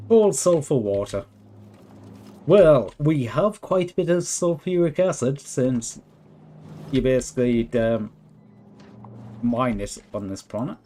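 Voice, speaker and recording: Hoarse man musing slowly over a game. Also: medium pitch, mellow, slight background noise. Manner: thinks aloud, chats with viewers. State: voice worn and hoarse. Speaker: live streamer. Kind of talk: relaxed live gameplay commentary. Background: faint background music.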